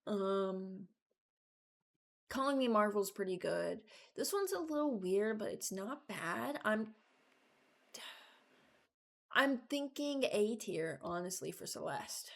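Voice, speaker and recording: A woman's voice: medium pitch, lively intonation, slow, vocal fry, pauses often. A woman giving casual, unscripted commentary as a video creator, hesitant and thinking aloud. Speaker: video creator ranking game voice lines.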